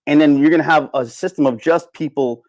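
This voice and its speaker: A man's voice: on the high side, a little fast, a little mellow, no echo. A man hosting a casual livestream, talking off the cuff in a rambling way and repeating phrases for emphasis. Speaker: livestream host. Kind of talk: casual unscripted livestream rant, reading and answering chat.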